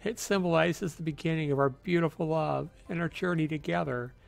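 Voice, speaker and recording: A man's voice: fairly high pitch, fairly quick, vocal fry, faint music behind, voice pushed up. A man voicing a desperate, heartbroken wife who pleads and falters in a dramatic visual novel read-aloud.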